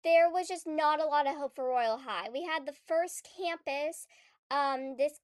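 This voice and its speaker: A woman's voice: high-pitched, unhurried, no room sound, clean studio sound. A young woman with a stuffy, congested voice from a cold, chatting casually about a game in a rambling vlog. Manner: chatty and rambling, many fillers and restarts. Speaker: gaming YouTuber.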